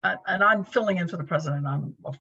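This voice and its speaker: Husky middle-aged woman on a dull video call. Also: lowish voice, fast talker, pushed and bright. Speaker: club meeting host, filling in for the president. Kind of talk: casual pre-meeting chat on a video call. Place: bedroom used as a home office.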